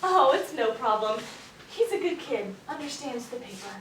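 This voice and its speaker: A woman's voice: high voice, lively intonation, normal speed, a bit bright. A woman in a stage play, playing a wry, flirtatious, glamorous mentor. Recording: echoey distant stage recording, faint noise.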